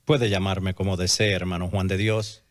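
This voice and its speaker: An actor playing a gracious, majestic crown prince in a formal, stately radio drama manner. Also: male voice, sits low, little pitch movement, normal speed, close to an everyday voice.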